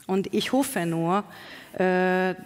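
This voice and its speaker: A woman's voice: fairly low pitch, extremely slow, clear, resonant tone. A woman with a strong Hungarian accent, a Hungarian native speaker from Hungary, answering interview questions as a poet, searching for words and restarting, yet speaking with emphasis. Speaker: poet, guest. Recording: reverberant hall.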